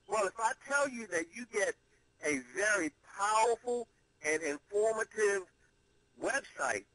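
A man giving a promotional conference-call sales pitch, emphatic and salesman-like, with deliberate pauses for effect. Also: bright, forceful tone, no room sound, rough recording. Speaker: presenter pitching an online money-making program.

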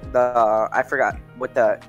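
A man's voice: medium pitch, flat delivery, measured pace, highs cut off. Young man, Minnesota accent, gaming voice chat, rambling, stumbling speech, music faintly behind. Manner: rambling, stumbling over words. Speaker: gamer on voice chat. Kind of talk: casual chat while gaming.

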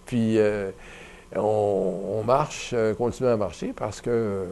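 A man in his seventies with a Canadian French accent, a retiring bishop being interviewed, who talks in a relaxed, reflective way with many fillers and restarts. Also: fairly low pitch, slow, vocal fry. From Ottawa, Canada. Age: 70s. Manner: reflective and rambling, many fillers and restarts, gestures while talking. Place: small bright indoor studio at a table.

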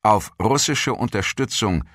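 Low-pitched man narrating a documentary. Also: fairly bright tone, dry recording, studio quality. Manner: measured, formal read delivery.

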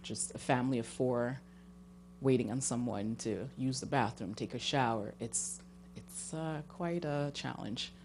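A woman's voice: rumbling low, a little slow, a little mellow. A woman speaking as a homeowner applicant, explaining informally into a handheld microphone at a public meeting. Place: municipal meeting room. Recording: faint background hum.

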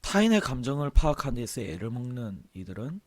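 Male game streamer, husky worn-out throat, offhand casual delivery with lively swings in pitch. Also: fast. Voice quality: hoarse, husky. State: sore, hoarse throat with throat clearing. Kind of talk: casual livestream chat, reading aloud and commenting.

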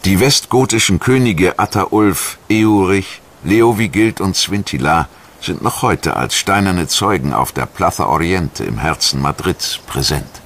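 A man reading scripted historical documentary narration in a measured, solemn and formal manner. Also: deep voice, animated, fairly bright tone, slight background noise. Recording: music behind the voice. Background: background music.

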